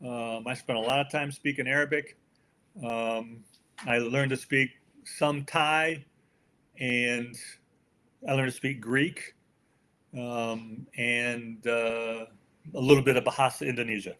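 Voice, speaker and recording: Older American male interview guest, mid-pitched voice, folksy and emphatic delivery. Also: steady tone, slow pace, pushed and bright. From United States. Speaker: podcast guest, author. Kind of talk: conversational interview answers.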